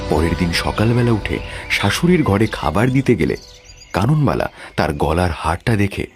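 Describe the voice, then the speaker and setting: Deep-voiced male storyteller over music. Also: very animated, moderate pace. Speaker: narrator. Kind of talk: scripted horror story narration.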